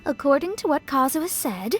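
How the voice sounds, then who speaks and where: A woman's voice: high voice, sing-song, fairly quick. A woman voicing a thoughtful, observant young female companion in a video game. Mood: pondering. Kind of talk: scripted video game dialogue.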